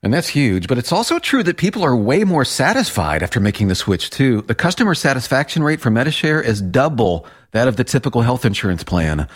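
A man's voice: medium pitch, sing-song, a little fast, few pauses. A man speaking conversationally as the spokesman in a radio advertisement.

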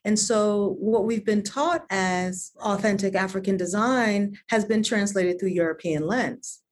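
A woman's voice: mid-range voice, unhurried, slight background noise. Earnest woman designer, interview guest. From United States (Connecticut). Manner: earnest, repeats words while gathering thoughts. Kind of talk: reflective interview conversation.

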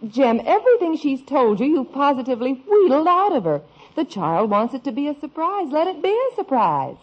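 An American actress playing a sensible, protective, gently scolding middle-aged mother in an old-time radio sitcom. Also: high-pitched, big pitch swings, brisk. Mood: reproving. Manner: reproving, earnest. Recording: dull, hissy vintage radio recording.

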